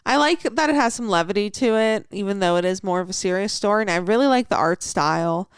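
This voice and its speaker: Woman chatting on a podcast, upbeat, bouncy intonation, smooth clean voice. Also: mid-range voice, animated, fast talker, no room sound, studio quality. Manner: upbeat, agreeable. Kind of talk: casual chat.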